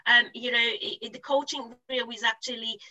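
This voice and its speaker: A woman's voice: a little high, fairly even intonation, normal speed, pushed and bright, rough recording. A woman hosting an interview in an effusive, encouraging way. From Bulgaria. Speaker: interview host. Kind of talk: upbeat hosted interview.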